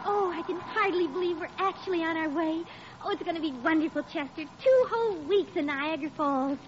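Very high-pitched, anxious young bride. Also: female voice, brisk. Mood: delighted. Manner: fretful, exclaiming. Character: sweet, anxious young bride, fretful but devoted. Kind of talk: old-time radio situation comedy acting. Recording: muffled, noisy vintage radio recording, audience behind.

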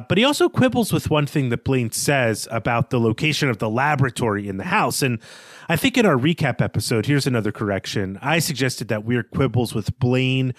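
Male podcast co-host, medium pitch, dry close sound; analytical, informal book-discussion delivery. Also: no room sound. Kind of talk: conversational literary discussion.

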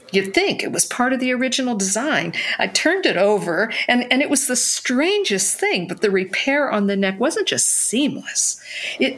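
An actress playing a wistful, wonderstruck woman who tells her memories conversationally in an audio drama, with halting repeats. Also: medium pitch, fairly bright tone, heavily acted. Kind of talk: first-person storytelling in an audio drama.

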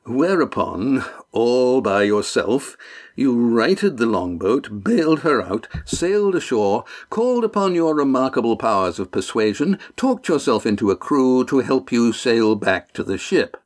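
A man voicing a menacing, sneering ship's master in an audiobook, with a smooth, taunting, overbearing manner. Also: mid-range voice, very animated, a little slow. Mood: cynical sarcasm. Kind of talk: audiobook character dialogue.

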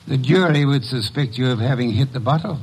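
An actor playing an imperious, dry older master detective in an old radio drama, on a noisy vintage recording. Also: male voice, medium pitch, a little fast, a little mellow, slightly rough, lightly acted. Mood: dry, stern. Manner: commanding, dry, clipped.

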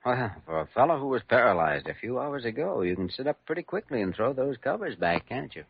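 An earnest, probing young American doctor in an old radio play. His voice is husky and lively in pitch, and he presses his points insistently. The recording is muffled and close. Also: a little fast, no echo, heavily acted. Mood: firm. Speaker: actor in a radio drama. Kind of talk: classic American radio drama acting.

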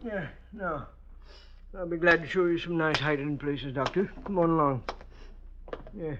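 A man's voice: on the high side, measured pace, natural voice. A man acting as an obliging, matter-of-fact janitor in an old-time radio drama, speaking in terse, folksy, hesitant phrases. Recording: noisy, dull old radio recording with clicks.

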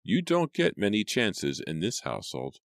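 A man's voice: lowish voice, wildly swooping pitch, moderate pace, vocal fry, no room sound, clean studio sound, voice pushed up, full character voice. A man voicing a fretful, scolding old female housekeeper with a bossy, folksy manner in a storybook. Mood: scolding, warning.

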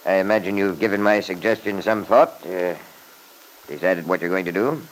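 A man's voice: low-pitched, normal speed, a little hiss or hum, natural voice, rough recording. An actor playing a domineering, wealthy older father in an old-time radio drama, blustering and imperious. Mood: businesslike.

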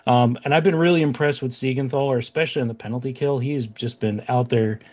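A man with a mid-pitched voice hosts a casual sports conversation. He talks informally, like a fan chatting with a guest. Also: steady tone, quick pace, a little mellow. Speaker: sports podcast host.

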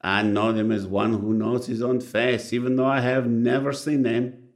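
An actor playing a gentle elderly stamp dealer in a radio drama, with a savoring, deliberate delivery. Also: male voice, mid-range voice, little pitch movement, lightly acted. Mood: reverent.

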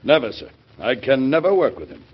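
A man's voice: medium pitch, big pitch swings, a little fast, slightly rough. A man playing a defiant, principled government critic in an old radio drama, speaking in a formal, clipped, righteous way. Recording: muffled vintage radio broadcast.

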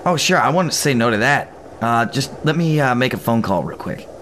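A man's voice: mid-range voice, expressive, normal speed, a bit bright, a little hiss or hum, natural voice. A man playing a shy, awkward and polite young man in an audio drama, with a hesitant, stammering delivery. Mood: bashful.

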